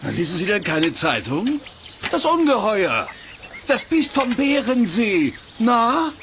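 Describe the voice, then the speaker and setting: Over clearly audible background noise, a boastful innkeeper from a children's radio play. His fairly high voice leaps up and down as he coaxes like a dramatic, pushy salesman. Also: very animated, slow pace, exaggerated voice. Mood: excited, sensational.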